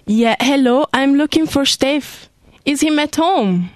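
A woman's voice: high-pitched, slow, no echo, studio quality. A woman playing an easygoing young woman in a live radio play, speaking simple broken English with a non-native accent. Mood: casual. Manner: casual, simple broken phrases.

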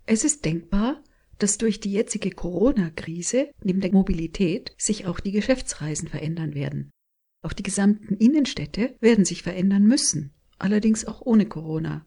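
A woman hosting a radio show, reading calm and clear summaries and introductions. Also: mid-range voice, normal speed. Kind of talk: scripted radio moderation.

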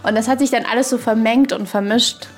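Female podcast co-host, mid-pitched, casual, ironic, meandering delivery over faint music. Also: fairly even intonation, brisk. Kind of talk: casual chat.

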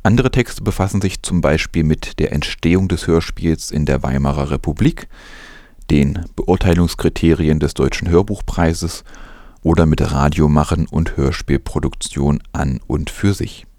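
A man's voice: rumbling low, normal speed, darkish tone, no echo, clean studio sound. A man presenting news, reading from a script in a narration style.

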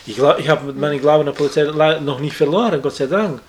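A halting man who keeps restarting his words. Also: on the high side, slight background noise. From outside Germany. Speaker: interviewee, acquaintance of the victim. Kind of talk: hesitant conversational interview.

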